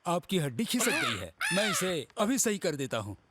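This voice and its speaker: A man's voice: high voice, huge pitch swings, normal speed, bright, forceful tone, slightly rough, full character voice. A man voicing a patient, helpful uncle in a Hindi cartoon dub, speaking like an encouraging instructor. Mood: concerned.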